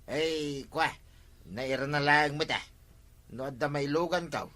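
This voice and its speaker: A man's voice: on the high side, unhurried, bright, forceful tone. A man with an Ilocano accent playing an easygoing, scheming tricycle driver and chummy drinking buddy in a comedy drama. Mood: evasive. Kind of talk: comedy radio-style drama acting.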